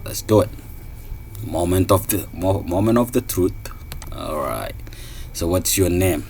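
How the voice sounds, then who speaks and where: Man, thirties, from somalia, unhurried, relaxed beginner coding lesson, lots of uh and restarts. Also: sits low, a little hiss or hum. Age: 30s. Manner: casual, thinking aloud, many fillers and restarts. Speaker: programming tutor. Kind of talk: screen-recorded coding tutorial.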